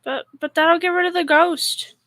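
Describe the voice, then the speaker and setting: A woman's voice: very high voice, steady tone, moderate pace, a bit bright. A young woman playing a naive, easily frightened roommate in an old-time radio comedy style. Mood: naive, earnest.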